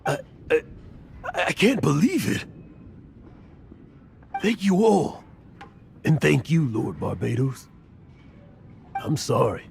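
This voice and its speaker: An actor in a video game dub playing a weary old adventurer haunted by guilt, speaking in a halting, emotional way. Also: male voice, fairly high pitch, big pitch swings, creaky, exaggerated voice. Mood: awed, moved. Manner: halting, emotional, self-reproaching. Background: prominent background music.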